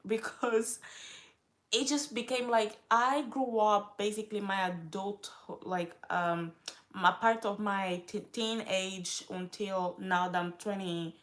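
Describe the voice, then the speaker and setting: A woman's voice: medium pitch, fairly bright tone. A young woman from Africa talking casually to her camera as a vlogger, rambling and often interrupting herself as she loses her train of thought. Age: young adult.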